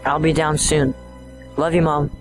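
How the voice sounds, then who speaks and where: A woman's voice: low voice, little pitch movement, unhurried, soft background music. A woman voicing a withdrawn, troubled schoolboy in an audio drama, subdued and terse. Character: withdrawn, troubled schoolboy, reluctant with his mother.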